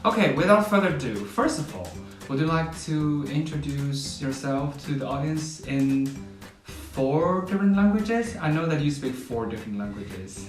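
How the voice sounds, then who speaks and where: A man hosts his vlog and asks his guest questions in a friendly, upbeat way. His voice sits at an ordinary pitch, picked up from some distance in an echoey room, with soft guitar music behind. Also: animated, normal speed. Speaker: vlog host. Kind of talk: casual interview.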